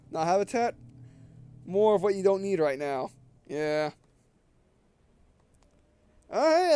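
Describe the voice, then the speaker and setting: A man with a high voice reads a visual novel aloud as a let's player. He slips between narration and casual commentary, often stumbling over words. A faint hum sits behind his voice. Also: a little mellow. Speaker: video game let's player.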